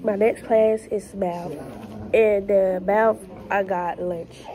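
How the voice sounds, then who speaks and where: A woman's voice: medium pitch, fairly even intonation, slow, a crowd in the background. A young woman with a stuffed-up nose, chatting and joking as she vlogs her school day into a phone held at arm's length. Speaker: vlogger. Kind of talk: casual school vlog. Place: classroom.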